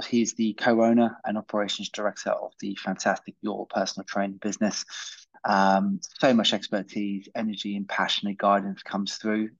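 A man's voice: lowish voice, dry recording. A man reading an episode intro for a podcast in a plain manner with fillers. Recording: dull, dry lo-fi sound.

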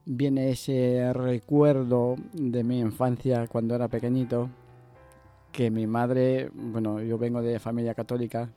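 Very mellow, halting man. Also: medium pitch, level tone, faint music behind. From Ecuador. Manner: hesitant, halting. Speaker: interview guest. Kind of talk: personal testimony in interview.

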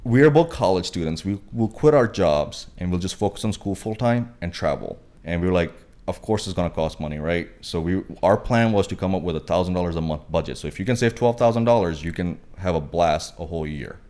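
A young man in his twenties from Pakistan, with a deep, low-set voice. He chats casually as a guest, wandering through his points with lots of fillers and restarts. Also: expressive, a little fast, a little mellow. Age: 20s. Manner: rambling, many fillers and restarts. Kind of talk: casual podcast chat.

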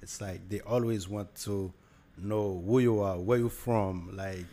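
Low-voiced young Haitian man, hesitant. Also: steady tone, unhurried, warm tone. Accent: Haitian Creole. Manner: hesitant, many fillers and restarts. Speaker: podcast guest. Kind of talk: casual conversation.

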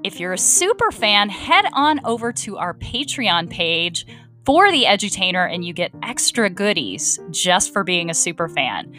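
A woman's voice: fairly low pitch, sing-song, unhurried, bright, forceful tone, faint music behind. A woman hosting a podcast interview, speaking to guests and listeners. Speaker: podcast host.

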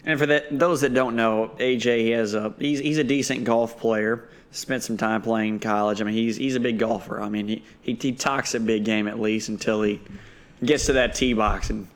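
A man's voice: fairly low pitch, steady tone, normal speed. A man hosting a podcast, interviewing a guest in casual chat, teasing him and cutting in with questions. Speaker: podcast host.

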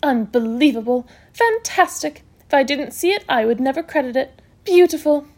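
A woman voicing a brisk, bossy, self-assured hospital matron in a storybook, scolding cheerfully in emphatic exclamations. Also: high-pitched, animated, darkish tone, voice pushed up. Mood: marvelling.

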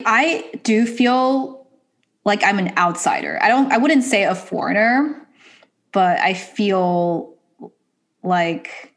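A woman in casual conversation, thoughtful and measured, pausing with hesitant fillers. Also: mid-range voice.

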